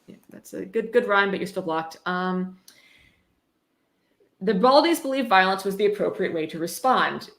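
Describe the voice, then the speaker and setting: A woman hosting a casual commentary, reading aloud and breaking off for sarcastic, mock-approving asides. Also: lowish voice. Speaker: host. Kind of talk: casual live commentary with a read-aloud book. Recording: echoey room.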